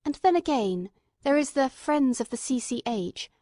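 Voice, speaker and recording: A woman voices an earnest, eager male companion telling a detective story. The voice is fairly high, with careful, literate audiobook phrasing. The recording is dry, with hardly any room sound. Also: lively intonation, normal speed, mellow, no echo. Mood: earnest, reasoning. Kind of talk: scripted audiobook narration.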